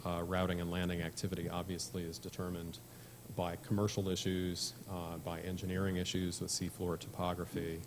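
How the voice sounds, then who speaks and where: A man giving a measured policy presentation at a conference, with frequent fillers. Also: deep voice, monotone, noisy background. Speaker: conference speaker.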